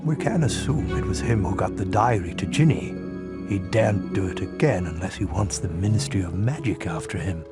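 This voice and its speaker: Elderly wizard headmaster, creaky voice. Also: male voice, low-pitched, animated. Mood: gentle, wry. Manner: measured, ceremonious. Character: elderly kindly wizard headmaster, calm and dryly witty. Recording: loud music behind the voice. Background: music.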